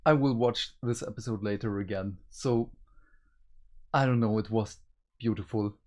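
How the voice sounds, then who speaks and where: A man's voice: lowish voice, normal speed, a little mellow. A man co-hosting a review show, talking casually with his partner.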